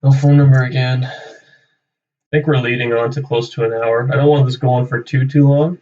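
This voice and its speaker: Young guy rambling on a livestream, thinking out loud, says right? a lot, sounds kinda far from the mic and a bit muffled. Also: mid-range voice, level tone, brisk. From Canada. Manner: rambling, thinking aloud, tags sentences with "right?". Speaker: realtor hosting a live cold-calling stream. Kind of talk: casual off-the-cuff livestream chat. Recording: distant, slightly dull microphone.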